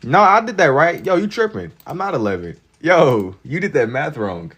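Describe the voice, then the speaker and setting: A man in his twenties hosting a livestream, chatting casually with viewers in laid-back slang and getting loud and laughing when teased. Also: on the high side, sing-song, moderate pace, husky. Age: 20s. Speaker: livestream host. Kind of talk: casual chat with viewers while drawing.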